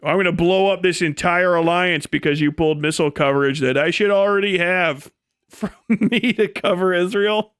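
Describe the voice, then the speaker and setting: A man's voice: sits high, normal speed, a bit bright, clean tone, no room sound. A man, recorded close and dry. He talks off the cuff like a streamer, swearing freely, with a mocking, incredulous edge to his commentary. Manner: breaks often into laughter while talking. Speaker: live streamer. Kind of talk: casual rant and commentary to a stream audience.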